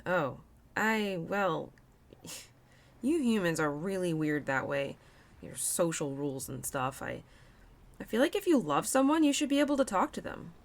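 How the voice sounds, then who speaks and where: A woman voicing a young female spirit of autumn in an intimate audio roleplay, caring and expressive, playful and easily excited. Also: fairly low pitch, unhurried, creaky, heavily acted. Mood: curious, puzzled. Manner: expressive, bubbly, giggly, naive about humans.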